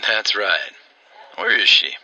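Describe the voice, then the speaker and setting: Man playing a gravelly, guarded small-town railman. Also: sits low, takes it slowly, pushed and bright, full character voice, low-quality recording. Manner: dry, matter-of-fact. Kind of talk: old-time radio drama acting.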